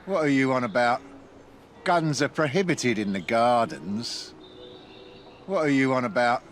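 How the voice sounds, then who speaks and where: An actor voicing a gruff, menacing male guard in a video game. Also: mid-range voice, expressive, a little slow, natural voice. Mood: hostile. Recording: music behind the voice.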